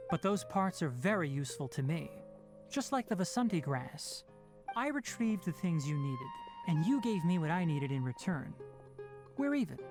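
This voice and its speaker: A man voicing a young, calm and pragmatic fox-eared ranger mentor in a video game, speaking in a measured, precise, articulate way. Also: a little high, soft background music. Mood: composed, reassuring.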